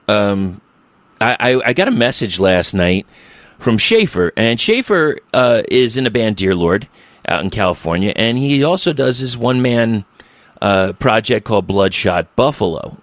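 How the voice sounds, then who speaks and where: A man's voice: on the low side, no echo. A man hosting a casual podcast interview, talking in a loose, rambling way with the odd swear word. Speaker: podcast host.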